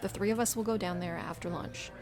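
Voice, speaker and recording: A woman's voice: medium pitch, steady tone, fast, darkish tone, soft background music, close to an everyday voice. A woman playing a self-assured, bossy squadron strategist in an audio drama, speaking in a formal, precise, businesslike way. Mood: composed, brisk.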